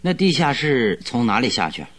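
An actor playing a shrewd, self-assured male detective who questions in a commanding, deliberate way in a radio drama. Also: medium pitch, very animated, fairly bright tone. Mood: pondering, probing. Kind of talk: Chinese radio drama acting.

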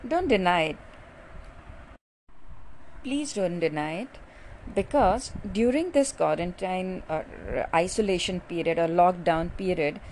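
A woman's voice: on the low side, measured pace, long pauses, darkish tone, slight background noise, low-quality recording. A middle-aged woman doctor with an Indian English accent hosts a casual podcast, speaking chattily with many filler sounds.

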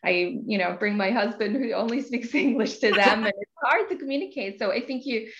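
A woman whose first language is Norwegian, a guest on a podcast interview, speaking in a chatty, reflective way with many fillers and soft laughs. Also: on the high side, a little fast, highs cut off. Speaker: guest, localization professional.

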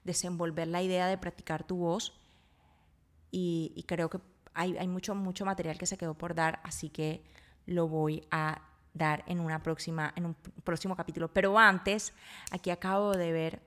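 A woman's voice: lowish voice, flat delivery, moderate pace, fairly bright tone. A woman hosting a solo podcast, talking reflectively and informally, earnestly working out her thoughts aloud. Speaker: podcast host.

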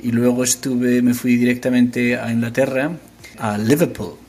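A man's voice: medium pitch, level tone, normal speed, a little mellow, slightly rough. A man in his forties from Spain with an Asturian accent, a company founder talking casually in an interview, often hesitating and restarting. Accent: Spanish, Asturian. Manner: hesitant, many restarts and fillers.

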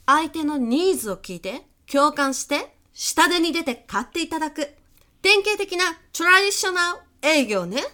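A woman's voice: sits high, lively intonation, normal speed, bright, forceful tone. A woman playing a pushy, self-assured business coach and hypnotist in a comedic radio drama, teasing, condescending and dramatic. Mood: condescending, brisk.

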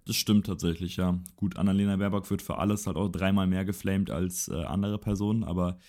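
A man's voice: low voice, steady tone, brisk, mellow. A young man co-hosting a casual political chat podcast, rambling and correcting himself as he goes. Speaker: podcast co-host.

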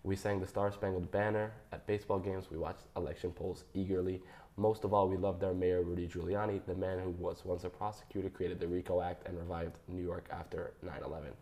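A young man reading his personal statement aloud in a measured, careful way. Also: low voice, little pitch movement, warm tone. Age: young adult. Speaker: guest.

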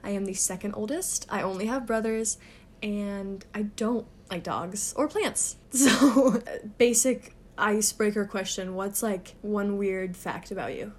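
A woman's voice: mid-range voice, unhurried. A woman in her twenties from Texas, co-hosting a podcast and telling stories in a chatty, giggly way. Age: 20s. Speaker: podcast co-host. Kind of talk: casual chat.

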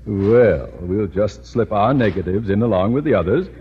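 An actor playing a pompous, scheming middle-aged school principal who blusters theatrically in an old radio comedy. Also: male voice, lowish voice, expressive, moderate pace, crowd noise, lightly acted. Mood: sneaky, pleased. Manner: pompous, theatrical, florid speech. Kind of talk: 1940s-50s radio comedy acting. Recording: muffled, noisy old radio recording.

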